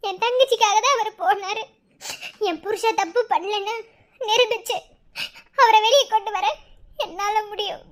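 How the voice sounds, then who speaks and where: A woman's voice: very high voice, moderate pace, long pauses. A woman playing an anguished but determined wife, making emotional, resolute declarations in a family melodrama. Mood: tearful resolve. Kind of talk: melodramatic family drama acting.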